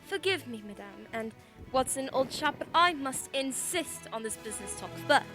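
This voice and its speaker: A woman acts out a composed female detective in a radio play. Her voice is high and moves freely up and down, yet stays formal and businesslike, with music playing behind her. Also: lively intonation. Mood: insistent. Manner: formal, polite, measured.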